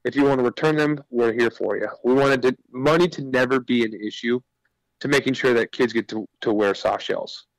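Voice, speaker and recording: A man's voice: mid-range voice, fairly quick, vocal fry, dry recording, rough recording. A man speaking as a guest in a radio interview, explaining his business in an earnest, informal way. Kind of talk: conversational radio interview pitch.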